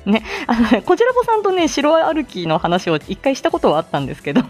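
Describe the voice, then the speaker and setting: Giggly, lively woman hosting a chat. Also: a little high, quick pace, faint music behind. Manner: giggly, chatty, exclaiming. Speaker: live audio show host. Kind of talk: casual morning livestream chat.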